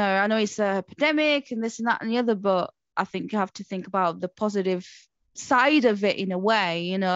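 A woman's voice: medium pitch, dry recording. A woman who speaks Portuguese as her first language, hosting a casual podcast interview in a chatty, upbeat way with many fillers. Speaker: podcast host. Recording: dull dry lo-fi audio.

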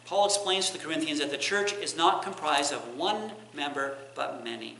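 A middle-aged man teaches a Bible lesson in an explanatory way, restating his points as he goes. His voice is slightly high and very bright. The church room echoes around him, with some background noise. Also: animated, normal speed, gravelly. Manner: explanatory, repeats and rephrases points. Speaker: preacher giving a Bible lesson. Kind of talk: teaching sermon. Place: church sanctuary, indoors.

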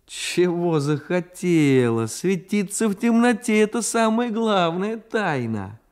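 A man's voice: sits high, big pitch swings, unhurried, a little mellow, clear, resonant tone, pitched above the performer's own voice. A man voicing a boastful, bossy young boy who declaims pompously and solemnly in a radio story reading. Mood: haughty, mysterious.

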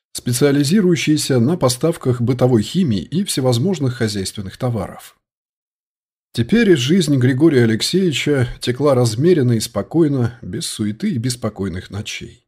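A man's voice: medium pitch, dark tone, breathy edge. A man reading a detective novel aloud as scripted audiobook narration.

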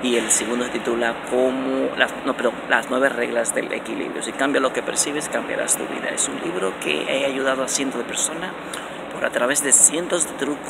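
Man, forties, motivational vlog, gravelly, animated emphasis, phone at arm's length, noisy underground station. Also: brisk. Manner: animated and repetitive, many restarts, stressing words with rising pitch. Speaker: vlogger giving self-help advice. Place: indoor underground transit station, concrete walls. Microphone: phone camera held close at arm's length.

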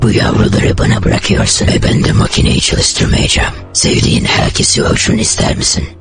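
A man voicing a menacing, gloating cartoon villain with a whispery, breathy rasp. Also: lowish voice, huge pitch swings, fairly quick. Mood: gloating threat. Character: menacing villain with a doomsday machine, gloating schemer. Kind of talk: dubbed fan cartoon voice acting. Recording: loud music under the voice.

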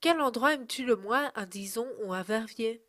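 A woman reading a scripted voice-over and putting interview questions in a clear, measured way. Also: fairly high pitch, sharp tone. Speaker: narrator and interviewer.

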